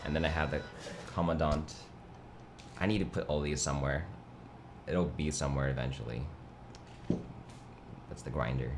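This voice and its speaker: A young man whose first language is Mandarin, chatting casually on a livestream with a nasal, vocal-fry voice and a laid-back, rambling manner. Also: a bass voice, steady tone, moderate pace, pauses often, background noise. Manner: laid-back, rambling, reads chat aloud. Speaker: livestream host. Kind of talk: casual chat.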